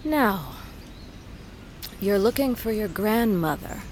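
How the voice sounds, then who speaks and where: A woman playing a stoic, commanding older mother in an audio drama, with a measured, solemn delivery. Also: medium pitch, animated, a little mellow, slight background noise. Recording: faint ambience behind.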